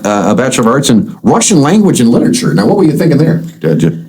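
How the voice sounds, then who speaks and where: A man's voice: mid-range voice, very animated, fast talker, a little mellow. A man hosting a podcast, interviewing a guest in casual chat, rambling with many uhs. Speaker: podcast host.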